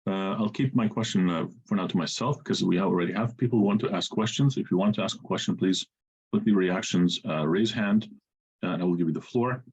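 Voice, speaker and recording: A man moderating an online panel, brisk and lightly joking, on dull lo-fi call audio. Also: sits low, darkish tone, slightly rough.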